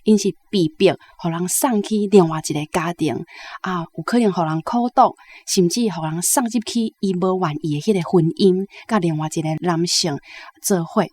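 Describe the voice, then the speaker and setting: Guest commentator, woman, Taiwanese accent, somewhat low pitch, explains in a conversational way. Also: normal speed, a little mellow, husky.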